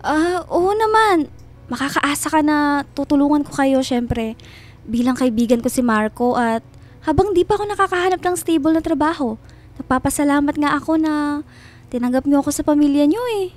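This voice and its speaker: An actress playing a chirpy, ingratiating and subtly possessive family friend in a radio drama, with a breezy, over-sweet manner. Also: female voice, sits high, animated, normal speed. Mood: sweet, reassuring.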